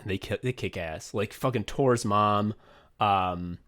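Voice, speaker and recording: A man co-hosting a podcast and casually looking back on writing and directing an audio drama, rambling, swearing often and leaning on "like" with quick bursts of excitement. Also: lowish voice.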